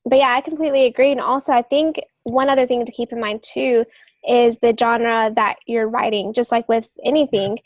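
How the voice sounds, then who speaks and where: A woman with a slightly high voice and flat, even intonation speaks as a podcast co-host. She explains things casually and in a measured way. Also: no room sound.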